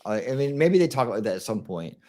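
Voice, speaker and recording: A man's voice: medium pitch, very animated, brisk, darkish tone. Laid-back man. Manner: laid-back, heavy fillers, swears casually. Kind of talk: casual chat.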